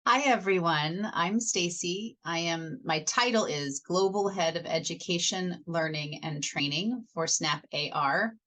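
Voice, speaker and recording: An American woman explaining her work in measured phrases as a panelist on an online call. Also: lowish voice, bright, forceful tone. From United States. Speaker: panelist, head of education. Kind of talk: self-introduction on a panel.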